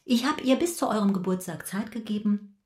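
An actress plays an exasperated mother in a radio drama. She is a sharp-tongued, middle-aged woman who scolds with heavy sarcasm. The room around her echoes clearly. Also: medium pitch, a little fast, lightly acted. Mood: stern. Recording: roomy, echoing indoor sound.

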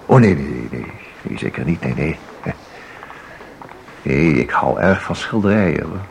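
A man's voice: a bass voice, expressive, unhurried, creaky, exaggerated voice. A man acting in a Dutch radio play as a chatty, flustered ordinary art lover who rambles hesitantly and repeats himself. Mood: chatty, eager. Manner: hesitant, rambling, full of repetitions and tag questions. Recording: audible background noise.

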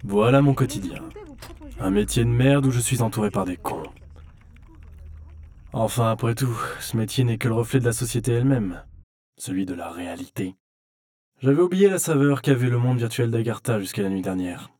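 A man's voice: mid-range voice, expressive, moderate pace, warm tone, close to an everyday voice. A man playing a disillusioned but principled insurance adviser and gamer, world-weary and plain-spoken, in an audio drama. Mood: bitter, weary. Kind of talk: scripted audio-drama acting and narration.

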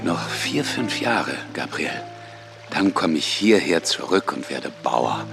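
A man playing a weary husband dreaming of country life in a radio play, musing intimately in a deep gravelly voice. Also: very animated, takes it slowly, over music, full character voice. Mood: wistful, tender. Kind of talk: radio drama acting.

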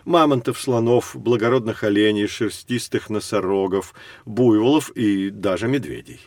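A man playing a knowledgeable guide in an educational audio drama, explaining things in a measured, instructive way. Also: fairly low pitch, darkish tone, no echo, natural voice. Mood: explanatory. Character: knowledgeable adult guide leading children through prehistory.

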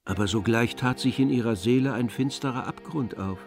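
A man's voice: medium pitch, fairly quick, over music. A man narrating a literary radio play from a script.

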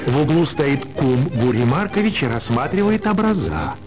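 A man's voice: mid-range voice, very animated, moderate pace. A man narrating a dramatised literary story as part of an audio play. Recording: loud music under the voice.

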